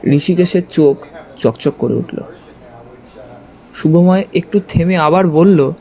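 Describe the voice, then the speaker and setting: An actor playing a detective's curious companion, narrating the story in the first person with expressive storytelling. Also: male voice, a little high, slow pace. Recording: music under the voice.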